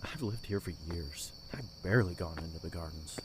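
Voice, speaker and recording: An actor playing a confident young castle guard in an audio drama, talking in a teasing way. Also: male voice, low-pitched, moderate pace, background noise, natural voice. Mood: easygoing.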